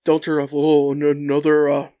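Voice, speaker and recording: A man's voice: on the high side, completely flat delivery, moderate pace, mellow, smooth, no room sound. Nasal, profane man ranting. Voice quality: nasal, stuffed-up. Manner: blunt, profane, rambling with restarts. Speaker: podcast host. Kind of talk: profane solo rant.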